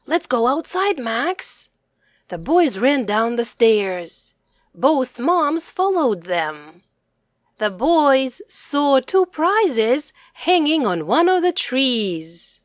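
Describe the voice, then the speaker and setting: A woman narrating a children's storybook expressively, addressing young listeners in a deliberate manner. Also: sits high, sing-song, dry recording, studio quality. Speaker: storybook reader.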